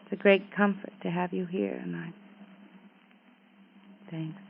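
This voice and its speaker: A woman's voice: fairly low pitch, fairly even intonation, a little slow, husky. An actress playing a gracious, anxious married woman in a golden age radio drama, speaking politely and earnestly. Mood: tender, hesitant. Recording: dull, noisy vintage radio recording.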